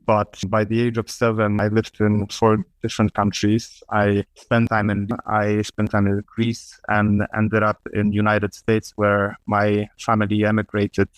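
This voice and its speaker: A middle-aged man from Poland speaks in a low, even voice, smooth and clean. He answers thoughtfully, with small fillers and restarts, in a dry, close sound. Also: no room sound, rough recording. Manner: reflective, measured, with fillers and restarts. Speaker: guest artist. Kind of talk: interview answers. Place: remote call from abroad.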